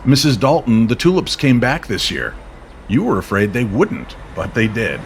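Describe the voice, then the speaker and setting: A man voicing a mysterious, gentle male stranger in a radio-style story, knowing and direct in manner. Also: mid-range voice, big pitch swings, normal speed, a little hiss or hum. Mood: gently reassuring.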